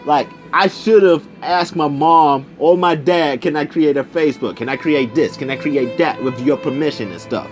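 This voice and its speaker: A young man telling a personal story casually, in an animated, slangy way, acting out the conversations as he goes. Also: on the high side, normal speed, few pauses, over music. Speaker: video storyteller.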